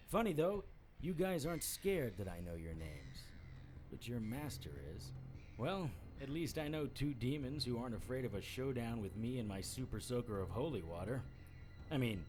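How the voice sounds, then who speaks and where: A man's voice: on the low side, darkish tone. A man playing a wisecracking, defiant private investigator in an audio drama, with a cocky, sardonic delivery. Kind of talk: audio drama acting with first-person noir narration.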